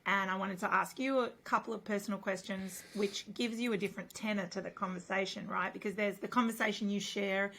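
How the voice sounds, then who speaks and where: Female interview host, husky and losing her voice, casual chatty delivery. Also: medium pitch, steady tone, brisk, few pauses, a little hiss or hum. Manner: chatty, warm filler-heavy talk with many restarts. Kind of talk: casual conversation.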